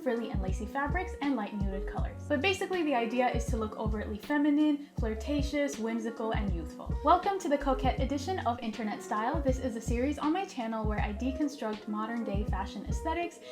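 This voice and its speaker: A young woman in her twenties, a native Georgian speaker, narrating a scripted fashion style guide in a soft, airy, gentle voice. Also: on the high side, expressive, brisk, few pauses. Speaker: fashion YouTuber. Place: bright room by a curtained window. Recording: clear music under voice.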